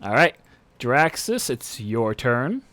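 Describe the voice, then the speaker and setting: A man's voice: medium pitch, very animated, slow, fairly bright tone, no echo. Man running a tabletop game. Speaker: game master. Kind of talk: casual tabletop game narration and rules talk.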